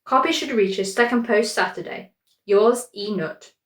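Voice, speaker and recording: A woman doing a loud, flat-voiced male editor. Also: mid-range voice, moderate pace, reverberant, close to an everyday voice. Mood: curt. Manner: loud, lifeless, every syllable alike. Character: brisk male newspaper editor, flat dictating voice.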